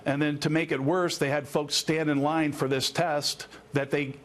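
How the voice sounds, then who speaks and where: A man's voice: fairly high pitch, level tone, moderate pace. A man speaking as an expert guest in a news interview, explaining in earnest detail with formal, legal phrasing. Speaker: attorney and former air traffic controller, interview guest.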